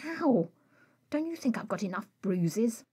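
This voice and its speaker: A woman's voice: medium pitch, big pitch swings, unhurried, mellow, husky, heavily acted. A woman voicing a petulant, teasing male vampire with a whiny, indignant way of protesting, in an audio story. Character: petulant, defiant, teasing male vampire, reckless party lover.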